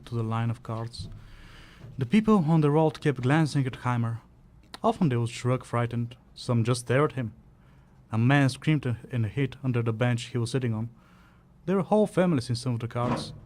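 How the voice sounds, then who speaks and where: Man acting as bossy fantasy co-narrator, medium pitch. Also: lightly acted. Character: bossy, bantering male co-narrator following the hero. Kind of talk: scripted fantasy storytelling.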